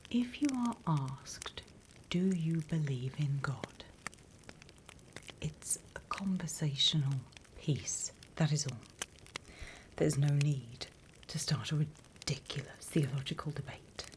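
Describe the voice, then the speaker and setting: A British woman voicing a sly, wryly menacing demon instructor in a hushed, conspiratorial ASMR roleplay whisper, close to the microphone. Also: deep voice, animated, noisy background. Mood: cautioning, instructive. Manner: measured, hushed, dryly conspiratorial.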